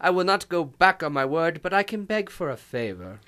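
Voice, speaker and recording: Man acting a pompous, animated radio detective. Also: on the high side, moderate pace. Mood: wry. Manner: pompous, formal, theatrical delivery. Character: shrewd, pompous, imperious armchair detective, large man. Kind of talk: old-time radio drama acting.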